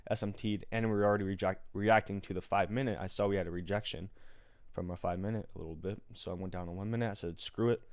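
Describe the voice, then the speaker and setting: An American man with a raspy morning voice, explaining things step by step in an informal, slangy livestream tutorial style. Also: on the low side, darkish tone, dry recording. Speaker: day trader and livestream host. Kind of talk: casual live trading tutorial.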